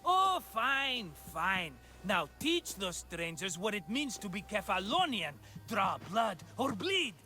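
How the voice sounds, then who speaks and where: A flattering, wheedling Greek schemer from a video game. His voice is high and bright with a theatrical Greek accent, leaping up and down as he persuades. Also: takes it slowly, hardly any pauses, faint music behind, heavily acted. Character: excitable, scheming, flattering male Greek hustler.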